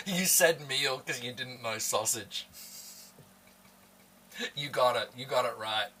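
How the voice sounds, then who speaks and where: A man's voice: medium pitch, slow, bright, forceful tone, far from the mic, slight background noise. A man chatting casually with viewers on a live stream while eating, teasing and joking with his chat. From born in Hong Kong, raised in Australia. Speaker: livestreamer.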